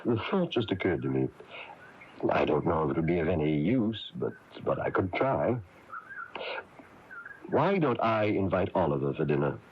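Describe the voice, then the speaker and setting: An actor playing a kindly, chatty small-town minister in a radio drama, coaxing and avuncular, with hesitant phrasing. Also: male voice, sits low, very animated, normal speed, pauses often, husky, exaggerated voice. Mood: concerned, helpful. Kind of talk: 1980s radio drama acting. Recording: dull, noisy AM radio recording.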